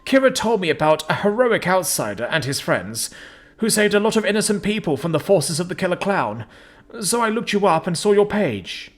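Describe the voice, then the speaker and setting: A man's voice: high voice, sing-song, normal speed, voice pushed up. A man putting on a quivering old amphibian man. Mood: desperate pleading. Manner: quivering, hissing, pleading. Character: frail old male amphibian client, desperate and pleading.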